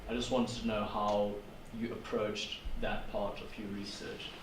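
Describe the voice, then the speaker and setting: A man's voice: lowish voice, flat delivery, measured pace. A man in the audience politely asks a question, hesitant, with fillers. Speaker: audience member asking a question. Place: seminar room. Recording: distant, echoey, noisy.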